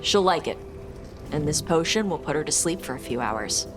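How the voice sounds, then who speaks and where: A woman's voice: sits low, expressive, normal speed. A woman acting a brash, proud dragon hunter in a video game, blunt and casual in her phrasing. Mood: matter-of-fact. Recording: loud game music behind.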